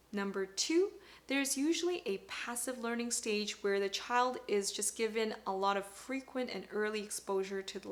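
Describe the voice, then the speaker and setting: A woman in her thirties whose first language is Cantonese, giving a bright, upbeat, clearly spoken scripted talk to camera as a parenting vlogger. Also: mid-range voice.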